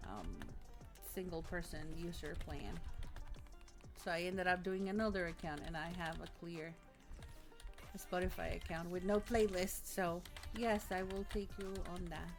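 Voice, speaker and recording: A woman's voice: lowish voice, normal speed, soft background music. A woman chatting with friends on a voice call, blunt and teasing. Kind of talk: casual chat.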